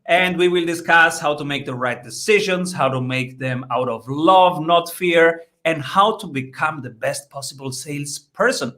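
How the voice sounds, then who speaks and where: A man's voice: a little high, moderate pace, hardly any pauses, pushed and bright. A man hosting an interview, introducing his guest in an upbeat, enthusiastic way. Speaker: podcast host.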